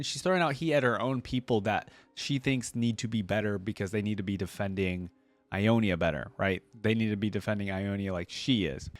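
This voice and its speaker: A man's voice: medium pitch, no echo. A man hosting a reaction video, giving informal, emphatic commentary straight to his viewers. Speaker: reaction video creator and host.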